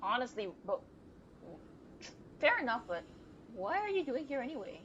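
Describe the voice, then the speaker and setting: A woman's voice: sits high, very animated, normal speed, fairly bright tone, muffled recording, a little hiss or hum. A woman streaming a game with casual live commentary, blunt and rambling, grumbling a little. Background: game music.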